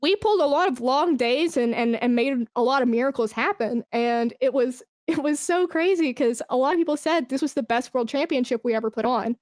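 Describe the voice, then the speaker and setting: A woman's voice: high-pitched, brisk, few pauses, a little mellow, no echo, clean studio sound. A woman speaking as a podcast guest in a casual, informal interview, effusive and emphatic about their work as an esports brand manager.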